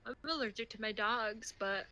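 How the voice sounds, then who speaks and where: Bright-voiced chatty woman gamer. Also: a little high, moderate pace. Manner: chatty and easygoing, lots of "like" fillers. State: stuffy from allergies. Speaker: roleplay streamer. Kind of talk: casual in-character chat during game roleplay. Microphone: headset or desk mic.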